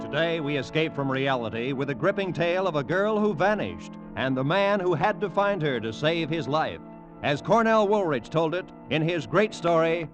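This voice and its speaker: A man's voice: a little high, lively intonation, normal speed, dull audio. An American man making formal, declamatory scripted announcements for a vintage radio drama. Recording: vintage radio, clear music behind.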